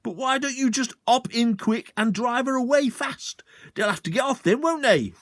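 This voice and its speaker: A man's voice: on the high side, very animated, moderate pace, dry recording, studio quality, pitched above the performer's own voice. A man voicing a sly, commanding village policeman who speaks in a bluff, hearty manner. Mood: mock-helpful.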